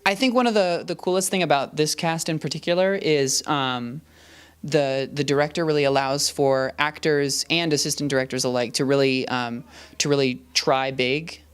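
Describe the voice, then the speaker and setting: Young male student actor, smooth tone, thoughtful and hesitant delivery. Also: on the high side, moderate pace. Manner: thoughtful, hesitant, many restarts and fillers. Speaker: student actor and assistant director. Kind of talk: casual interview answers.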